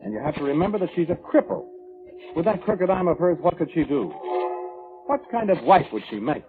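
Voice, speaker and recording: An actor playing an indignant, self-righteous, controlling man giving testimony in a 1940s radio drama, blustering and defensive with hesitant restarts. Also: on the high side. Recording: narrow, tinny old radio broadcast with music.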